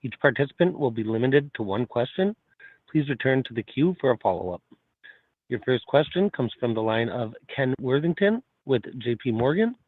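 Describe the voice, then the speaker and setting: Over a narrow, dry phone line, a man with a mid-pitched voice reads scripted conference call instructions as the operator. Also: big pitch swings, normal speed, no echo.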